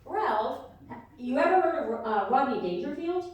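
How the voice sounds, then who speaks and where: A woman's voice: sits high, lively intonation, a little slow, lo-fi sound. A woman playing a chirpy, politely curious radio interviewer in a live comedy radio play, with exclamatory delivery. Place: live fundraiser event room before an audience. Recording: echoey live hall, distant mic.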